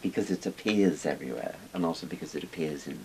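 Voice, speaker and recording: Older man, very deep voice. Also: quick pace, vocal fry, a little mellow, low-quality recording. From Wales. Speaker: interviewed expert on Chinese dragons. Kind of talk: casual explanatory talk. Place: small book-lined room indoors. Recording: hissy background noise.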